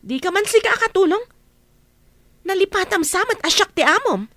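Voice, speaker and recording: A woman's voice: very high-pitched, expressive, normal speed, a bit bright, no room sound. A woman acting a greedy, imperious wife in a comedy radio drama, with an Ilocano Filipino accent. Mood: bossy, irritated. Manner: imperious, scolding. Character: bossy, greedy, scheming wife and mistress of household.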